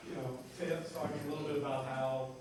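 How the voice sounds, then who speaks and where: A heavily reverberant, noisy recording of a man at some distance. He talks quickly as a moderator, casually steering a discussion with questions. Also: mid-range voice, level tone. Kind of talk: informal post-show question-asking. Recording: echoey room, distant mic, clear noise.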